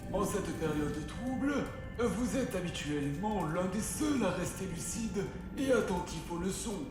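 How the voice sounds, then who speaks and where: An actor playing a solemn, formal spiritual guide who speaks with measured gravity in a French audio fantasy drama. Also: medium pitch, animated, natural voice. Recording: heavy echo with music behind.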